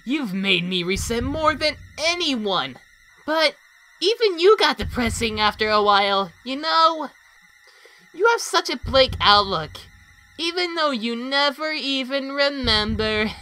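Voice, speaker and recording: A high, bright, malicious little flower creature, taunts theatrically in a comic dub. The delivery swings widely in pitch and stays mocking and manic. There is faint noise in the recording. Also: female voice, lively intonation, a little slow, full character voice, low-quality recording. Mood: bitter, taunting. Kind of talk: animated comic dub acting.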